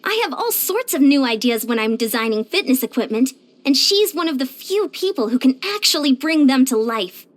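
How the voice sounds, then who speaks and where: A very high, lively voice for a small but determined fitness coach in a video game. She speaks, chatty and confident, with pitch that moves around a lot. Her delivery is earnest and plainspoken. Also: normal speed. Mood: warm admiration. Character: short, determined, energetic young female fitness coach. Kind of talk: animated video game character voice lines.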